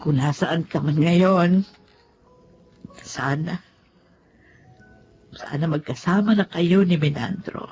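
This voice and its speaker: An actress playing an older, remorseful woman in mourning in an emotional radio drama, speaking in a halting, heartfelt way. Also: fairly low pitch, darkish tone, muffled recording. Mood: remorseful, pleading. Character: grieving, remorseful old woman mourning her longtime rival. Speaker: radio drama lead actor. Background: music.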